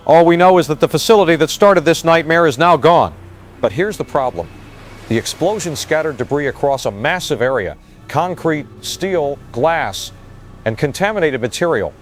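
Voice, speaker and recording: A man's voice: fairly high pitch, close to an everyday voice. A man playing a grave, shaken field reporter giving a hesitant eyewitness report in an audio drama. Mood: urgent, alarmed. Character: shaken male field reporter at disaster scene.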